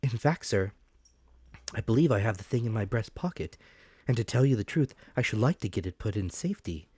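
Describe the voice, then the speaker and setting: A man's voice: on the low side, expressive, brisk, a little mellow, husky, no echo, voice pushed up. A man voicing a polite, earnest and deferential boy in a dramatic audiobook reading. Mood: respectful, earnest. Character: polite, earnest boy, young narrator's younger self.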